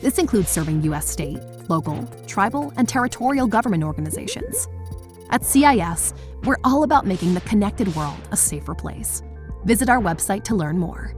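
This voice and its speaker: A woman's voice: mid-range voice, sing-song, moderate pace. An American woman reading a polished, scripted advertisement as an announcer. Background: music.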